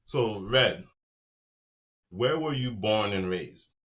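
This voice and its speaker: A man's voice: fairly low pitch, lively intonation, takes it slowly, fairly bright tone. A man hosting a casual interview, speaking in slang and chiming in with agreement, on slightly muffled audio. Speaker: interviewer, host.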